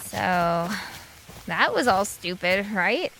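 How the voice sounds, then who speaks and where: A woman's voice: mid-range voice, sing-song, slow, creaky, a bit bright, slight background noise, exaggerated voice, low-quality recording. A woman playing a blunt, skeptical young friend in an audio drama, with an exasperated manner.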